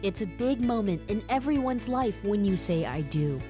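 A woman's voice: medium pitch, lively intonation, normal speed, over music. A woman reading a scripted story narration in a storytelling tone.

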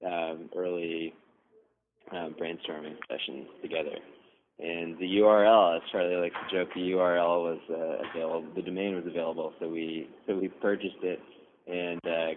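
Deep flat-voiced man over a phone line. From coastal Maine, USA. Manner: thoughtful, rambling, many restarts. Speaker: guest designer. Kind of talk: casual interview answers. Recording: tinny phone line with faint hiss.

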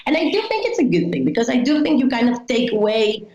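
A woman's voice: a little high, big pitch swings, fast, darkish tone. Dutch-accented woman in an echoey room. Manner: thoughtful, frequent "like" fillers. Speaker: tour company founder, guest. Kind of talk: conversational interview. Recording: echoey room, dull lo-fi sound.

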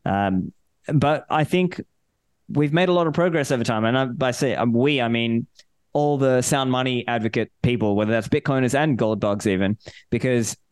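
A man raised in Australia chatting as a podcast guest, relaxed and thoughtful, with fillers and restarts. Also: medium pitch, moderate pace, smooth, no echo, studio quality. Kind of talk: casual interview chat.

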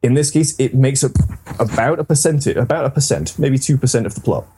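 A man's voice: medium pitch, a little fast, background noise. A man hosting a casual, rambling and enthusiastic discussion about games. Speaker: podcast host.

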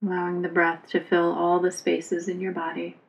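A woman yoga instructor leading a guided relaxation meditation in a calm, soothing, unhurried manner. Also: on the low side, gravelly, dull audio.

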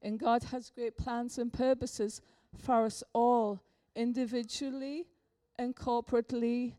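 An older woman preaching a sermon, a little hoarse from a cold, effusive and exclamatory. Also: fairly high pitch, warm tone.

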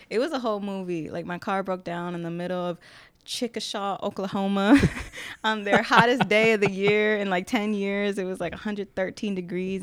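Young woman guest, casual and laid-back, dry close sound, crisp tone. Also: fairly low pitch, no room sound. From Connecticut, US. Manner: relaxed, laughs often, slangy. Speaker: podcast guest. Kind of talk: casual interview chat.

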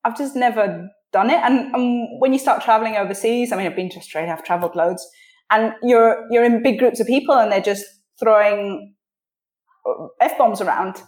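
A woman from Switzerland with a posh British accent, chatting casually as a podcast guest and often pausing to think mid-sentence. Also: a little high, darkish tone. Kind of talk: casual interview chat. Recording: roomy echo on the voice.

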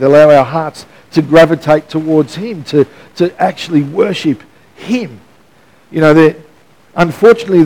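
A man with a loud, mid-pitched voice preaches to a church congregation. He talks in a casual, joking way and often restarts his sentences. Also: poor audio. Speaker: pastor. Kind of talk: sermon. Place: church hall.

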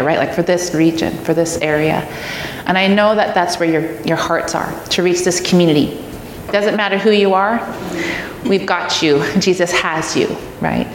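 A middle-aged woman, a guest preacher, speaking in an informal, earnest, conversational way with personal anecdotes. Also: lowish voice. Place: large echoey church room, live audience. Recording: echoey hall, clear background noise.

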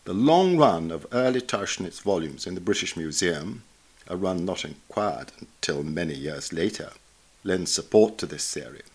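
A man reading a scholarly article aloud in a formal, measured, bookish manner. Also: low-pitched, expressive, slightly rough. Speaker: reader of a journal article. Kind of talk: scripted narration, reading an essay aloud.

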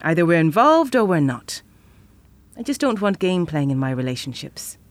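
A woman's voice: sits low, very animated, moderate pace. An actress playing a frank, playful, independent woman in a naturalistic audio drama.

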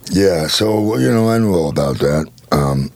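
A man's voice: low-pitched, animated, unhurried, a little mellow. A man in his fifties hosting a casual interview, asking blunt, frank questions. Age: 50s. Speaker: podcast host.

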